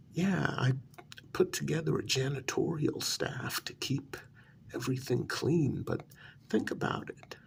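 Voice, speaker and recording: A man playing a smug, deluded asylum inmate in a soft-spoken ASMR role-play, breathy and half-whispered, talking down to his listener in a halting, condescending way. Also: a little high, a little hiss or hum, full character voice. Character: deluded male asylum inmate, smugly convinced he created the world.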